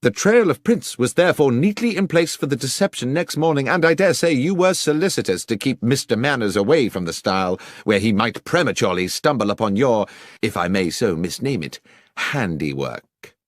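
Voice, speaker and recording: A man's voice: mid-range voice, very animated, normal speed, dry recording, studio quality. A man voicing a confident, incisive detective in an audiobook, speaking formally and precisely as he lays out deductions. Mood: assured, incisive. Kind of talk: audiobook character acting.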